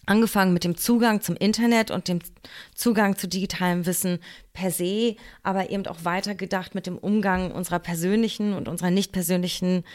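A woman from Germany, raised bilingual in English and German, speaking as a podcast guest in a measured, thoughtful way with some hesitations. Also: lowish voice, steady tone. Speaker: podcast guest, political scientist. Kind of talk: explanatory interview talk.

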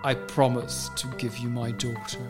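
A man's voice: medium pitch, little pitch movement, normal speed, close to an everyday voice. A man playing a proud, demanding king in a folktale radio play. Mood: demanding, imperious. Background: music.

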